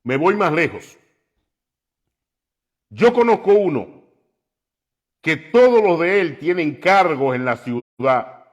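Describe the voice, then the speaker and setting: A man preaching on the radio, slow and emphatic, climbing to loud, impassioned peaks. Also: fairly high pitch, sing-song, muffled recording. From New York. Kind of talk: preaching commentary.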